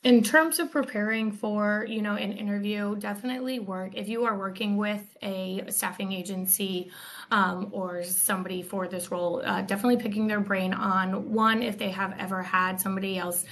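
A woman's voice: medium pitch, steady tone, normal speed, a bit bright. A woman speaking as a guest career adviser, offering conversational tips in short, hesitant phrases. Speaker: staffing professional giving advice as a guest. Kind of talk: conversational interview advice.

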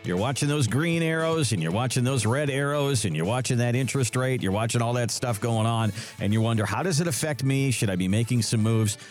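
A man's voice: medium pitch, fairly quick, hardly any pauses, soft background music. A man hosting a retirement radio show, friendly and chatty, with many small fillers. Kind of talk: radio finance talk.

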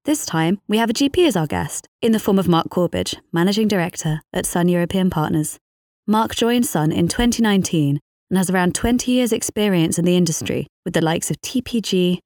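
A woman's voice: lowish voice, darkish tone, no echo, studio quality. A woman hosting an interview podcast, speaking in a polite, formal and articulate way as a reporter. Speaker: podcast host, financial reporter. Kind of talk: scripted podcast introduction and interview questions.

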